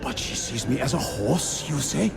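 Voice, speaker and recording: An actor playing the remorseful ghost of a peasant-born squire, mournful and halting, in a video game. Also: male voice, medium pitch, lively intonation, gravelly, background noise. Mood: tormented. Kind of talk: dramatic video game acting.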